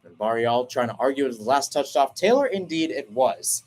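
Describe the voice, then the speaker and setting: A man doing radio play-by-play for a soccer match, talking steadily through the passing and breaking off to explain tactics, then jumping up in pitch when a chance opens. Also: lively intonation, a little mellow. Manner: brisk flowing call, rising sharply on chances; self-correcting, explains tactics between plays. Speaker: play-by-play commentator.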